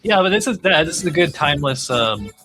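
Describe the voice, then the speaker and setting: A man hosts a casual podcast. His pitch moves around in a lively way as he talks. Music plays clearly behind his voice. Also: fairly quick. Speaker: podcast host.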